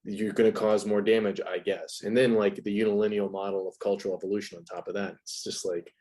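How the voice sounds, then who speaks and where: A man's voice: lowish voice, flat delivery, quick pace, darkish tone. A man speaking as an interview guest in a casual, analytical chat, reflective and informal, with plenty of "like" and "you know.".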